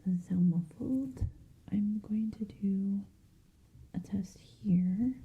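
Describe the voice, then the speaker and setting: A woman whispering softly and breathily in an ASMR medical roleplay, gently giving reassuring step-by-step instructions close to the mic. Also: mid-range voice, slow pace, dull audio. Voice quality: soft, breathy, mostly unvoiced whisper. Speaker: ASMR creator playing a hearing examiner.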